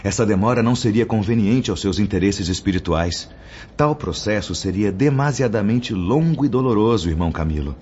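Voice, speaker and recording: A man's voice: on the low side, animated, brisk, darkish tone, slight background noise, close to an everyday voice. A man playing a grave, patient spirit mentor in a radio drama, teaching in formal diction. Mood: patient, admonishing. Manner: solemn, didactic, formal diction. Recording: dull lo-fi audio under music.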